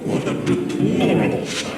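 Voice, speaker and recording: An actor playing a demonic tyrant father, a mocking and menacing villain delivering grand speeches in a video game. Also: close to an everyday voice. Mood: mocking, grandiose. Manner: slow, grandiose, taunting. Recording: roomy echo under loud music.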